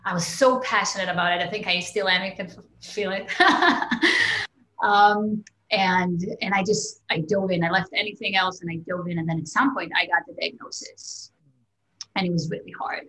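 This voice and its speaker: A woman's voice: fairly low pitch, normal speed, sharp tone, reverberant, rough recording. A woman from Israel, a guest in an interview, telling her life story in an open, reflective way with many fillers and restarts.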